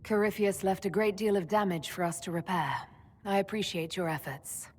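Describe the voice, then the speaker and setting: A woman playing a composed, diplomatic, gently wry leader in a fantasy video game, calm and direct in speech. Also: mid-range voice, moderate pace, in a large hall, close to an everyday voice.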